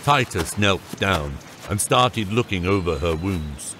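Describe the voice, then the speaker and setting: A man's voice: deep voice, lively intonation, slow, slight background noise. A man narrating a scripted fantasy audiobook. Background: loud music.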